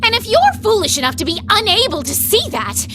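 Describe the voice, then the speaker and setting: A woman's voice: very high voice, very animated, a little fast, sharp tone, slight background noise, exaggerated voice. An actress playing a proud, hot-tempered young female knight in a video game, imperious and scolding. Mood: indignant.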